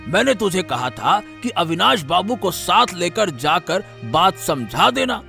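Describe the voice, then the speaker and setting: An irritable senior villager played by a man in a cartoon story, always scolding. The voice is high and gravelly, with big swings in pitch. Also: sing-song, measured pace, bright, forceful tone, soft background music, exaggerated voice.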